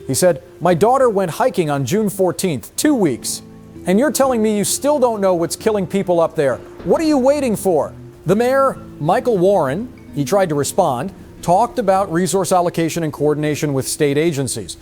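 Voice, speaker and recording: A man's voice: high-pitched, expressive, normal speed, a little mellow, faint music behind. A man playing an earnest field news reporter, giving a live report with emphatic, concerned storytelling. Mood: agitated, emphatic. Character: earnest male field reporter, shaken by events.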